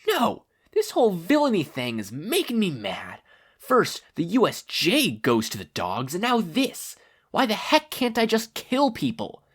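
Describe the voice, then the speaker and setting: A whiny young villain from a storybook, as a reader plays him. He is petulant and easily exasperated. His pitch leaps about as he talks. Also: wildly swooping pitch, unhurried, husky, heavily acted. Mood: furious, whining. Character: petulant, tantrum-prone young male villain.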